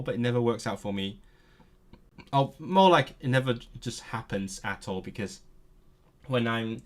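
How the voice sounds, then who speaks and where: A male streamer whose first language is Cantonese talks casually to his viewers. His voice sits at an ordinary middle pitch. He sounds eager and nerdy, and he often trips over words and starts them again. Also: fairly bright tone. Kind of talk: casual live-stream chat.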